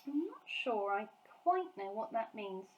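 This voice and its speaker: A woman's voice: fairly high pitch, big pitch swings, unhurried, a little hiss or hum, voice pushed up. A woman voicing a curious, anxious and polite little girl from a storybook, questioning in plain words.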